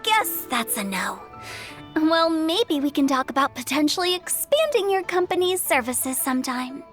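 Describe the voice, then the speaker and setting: A woman's voice: high-pitched, sing-song, normal speed. A woman playing a playful, theatrical young funeral parlor director with a teasing manner in a video game. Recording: faint music behind the voice.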